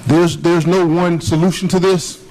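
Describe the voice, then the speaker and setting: A man's voice: a little high, little pitch movement, moderate pace, darkish tone, rough recording. A man serving as city commissioner, speaking earnestly and emphatically at a public meeting. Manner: earnest, emphatic, plain-spoken.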